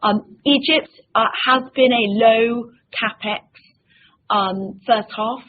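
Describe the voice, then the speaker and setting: A woman executive giving an investor presentation in a measured, hesitant way, often pausing on ums and repeating words. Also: fairly high pitch. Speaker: company executive presenting financial results. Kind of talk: investor presentation, semi-scripted. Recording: tinny phone-like line with faint noise.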